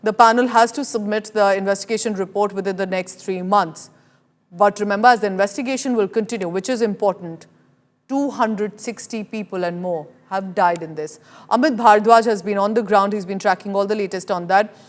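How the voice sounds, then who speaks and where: A woman's voice: medium pitch, fairly quick. Indian-accented woman anchoring live news.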